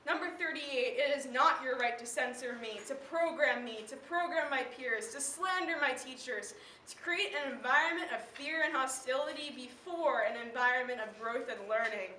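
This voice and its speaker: A teenage American girl performs slam poetry with emphatic, declamatory conviction. Her voice is high and bright. She is recorded at a distance from the mic in a live room, pausing deliberately for weight. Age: teen. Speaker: slam poet. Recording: roomy, distant mic, faint background noise.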